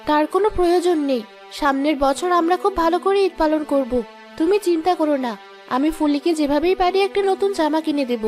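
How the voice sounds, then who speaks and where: A woman's voice: high-pitched, fast talker, a little mellow, soft background music, close to an everyday voice. A woman voicing a poor, anxious housemaid and mother in a cartoon drama, plaintive and pleading. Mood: worried.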